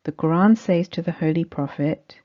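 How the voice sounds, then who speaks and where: A woman's voice: on the low side, normal speed. A woman reading a scripted religious study text aloud as narration. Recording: dull, muffled lo-fi recording.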